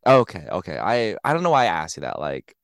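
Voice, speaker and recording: A man in his late twenties hosting a podcast in casual chat, animated and giggly, his voice slightly raspy today. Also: low-pitched, brisk, no room sound, studio quality. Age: 20s. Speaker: podcast host.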